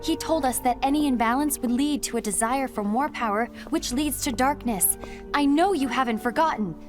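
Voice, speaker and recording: A cold, dutiful young woman, played by a woman in a fan dub, speaking stiffly and with reproach in a fairly high voice. Music runs clearly underneath. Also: normal speed, lightly acted. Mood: stern. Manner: stiff, rule-bound, reproachful. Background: background music.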